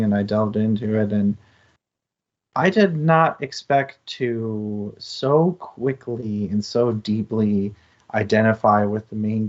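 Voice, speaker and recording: Low-voiced man, earnest, slightly muffled sound. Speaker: co-host of a book discussion show. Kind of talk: casual, reflective book discussion.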